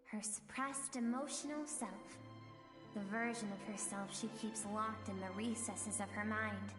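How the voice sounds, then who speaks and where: An actress playing the gentle, wistful emotional self of a warrior in a video game, speaking in a measured, earnest, dramatic way. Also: female voice, medium pitch, fairly even intonation, lightly acted. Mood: quietly resolute. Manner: slow, measured, earnest storytelling delivery. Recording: echoey long reverb, game music behind.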